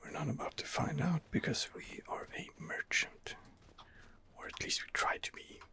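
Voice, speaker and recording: Casting: male ASMR gamer, whispered and creaky, hesitant, musing delivery at close mic. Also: fairly high pitch, moderate pace, bright, forceful tone. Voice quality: whispered, breathy. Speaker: gamer narrating his role-play playthrough. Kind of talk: ASMR gaming commentary.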